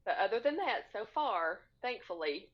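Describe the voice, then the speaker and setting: Measured woman guest, slightly muffled call audio. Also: mid-range voice, very animated. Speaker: podcast guest. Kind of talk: casual conversation. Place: indoors on a split-screen video call.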